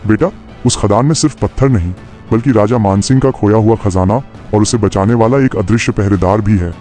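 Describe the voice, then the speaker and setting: A man's voice: lowish voice, brisk, warm tone, faint music behind, natural voice. A man voicing a grave, cautioning older villager in a horror cartoon. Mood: ominous warning. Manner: grave, warning.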